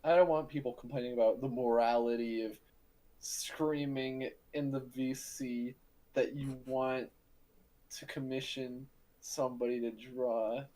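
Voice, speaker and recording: A man's voice: mid-range voice, fairly even intonation, unhurried, warm tone, rough recording. A man hosting a casual podcast call, joking playfully and rambling between ideas. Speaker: podcast host.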